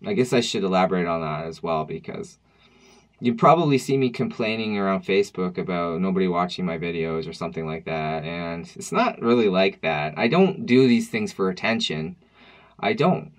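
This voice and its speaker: A man's voice: deep voice, expressive, normal speed. A man in his thirties talking to camera at home in a personal vlog, reflective and wry, with loose conversational restarts. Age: 30s. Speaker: vlogger. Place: dim room at home, indoors.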